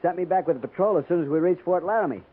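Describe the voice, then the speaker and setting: An actor playing an eager, deferential junior cavalry officer in a 1950s radio western, earnest and hurried. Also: male voice, high-pitched, close to an everyday voice. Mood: respectful, relieved. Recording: muffled, noisy 1950s radio recording.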